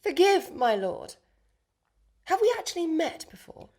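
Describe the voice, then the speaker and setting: A woman's voice: extremely high pitch, sing-song, unhurried, darkish tone, heavily acted. A woman playing a haughty, scheming noble lady in a comic period radio play, imperious, exasperated and grandly wordy. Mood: dry, wary.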